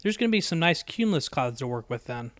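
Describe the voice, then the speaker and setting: Guy doing a cocky young pegasus girl for a story reading, stammery and eager, voice pitched up. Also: big pitch swings, quick pace, a bit bright, no room sound, studio quality. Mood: eager, upbeat. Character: brash, sheepish young female pegasus pony flier. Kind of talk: acted audiobook dialogue.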